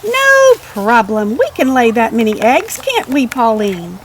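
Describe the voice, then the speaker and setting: A woman's voice: a little high, wildly swooping pitch, measured pace, a little hiss or hum. A woman voicing an enthusiastic, bossy storybook farm woman who speaks in an emphatic, exclaiming way. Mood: confident. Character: eager, bossy, enthusiastic woman hen farmer.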